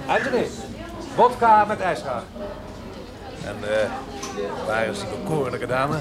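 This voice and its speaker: A man's voice: medium pitch, sing-song, measured pace. A man playing a jovial, cultured critic in a radio drama, speaking in an effusive, urbane, slightly hesitant manner. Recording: busy bar chatter behind. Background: crowd murmur of a bar.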